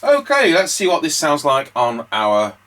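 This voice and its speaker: A man's voice: on the high side, wildly swooping pitch, a little slow, a bit bright. A man giving casual demonstration commentary as a radio repair host and operator.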